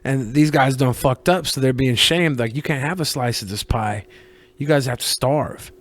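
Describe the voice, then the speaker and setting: A man's voice: medium pitch, normal speed. A man co-hosting a podcast in casual, joking chat, rambling and full of slang. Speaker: podcast co-host.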